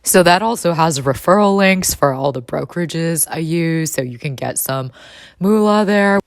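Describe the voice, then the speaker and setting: A woman in her thirties with a husky voice, chatting casually as a vlogger about her trading. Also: low voice, normal speed, dry recording, clean studio sound. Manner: relaxed and chatty, with light laughs. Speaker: vlogger and trader. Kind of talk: casual vlog narration.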